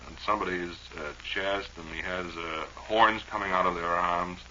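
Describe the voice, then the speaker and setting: A man's voice: sits low, steady tone, moderate pace, bright, forceful tone. A man hosting a radio show with wry, rambling, playful comic banter. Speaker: radio host. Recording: dull old radio recording, faint noise.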